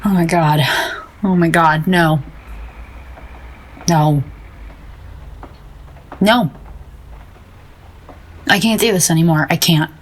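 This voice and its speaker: A guilt-ridden painter in an audio drama, blunt n wry, husky and kinda low. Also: female voice, takes it slowly, pauses often. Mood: despairing.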